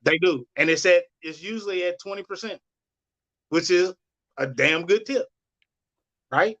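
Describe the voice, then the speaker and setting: A man with a high-pitched, squeaky voice, co-hosting a casual podcast chat and weighing in slowly and deliberately. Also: fairly bright tone, dull audio. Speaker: podcast co-host.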